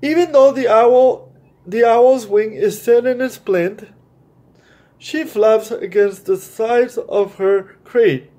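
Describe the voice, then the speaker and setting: A man's voice: very high-pitched, slow pace, warm tone. A man hosting a children's story time, reading a picture book aloud and explaining it directly to young listeners.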